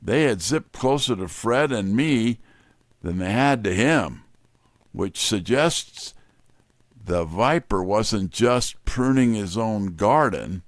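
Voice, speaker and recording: A man's voice: mid-range voice, no room sound, studio quality. A man giving a scripted, wry first-person hardboiled detective narration.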